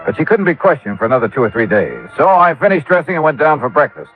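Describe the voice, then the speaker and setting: A man's voice: on the high side, very animated, quick pace. An American man playing a wry, self-assured insurance investigator in a 1950s radio drama, with a clipped, hard-boiled delivery. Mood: brisk narrating. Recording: tinny vintage radio recording with faint music.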